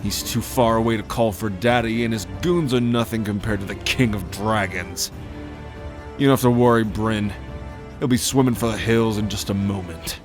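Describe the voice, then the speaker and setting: A man's voice: lowish voice, normal speed, over music, lightly acted. A man playing a proud, fierce and protective dragon king in a fantasy audio drama, cocky and menacing.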